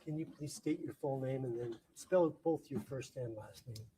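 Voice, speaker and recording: Mellow-voiced man questioning a witness. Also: medium pitch, slight background noise. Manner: halting, rephrases questions mid-sentence. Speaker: prosecutor. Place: courtroom.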